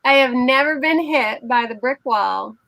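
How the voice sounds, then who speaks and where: Woman, high voice, slow unhurried chat, dry close sound, video call. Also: gravelly, pushed and bright, no echo, poor audio. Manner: unhurried, giggly, greets viewers by name. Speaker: co-host of a live crochet show. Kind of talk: casual chat on a livestream.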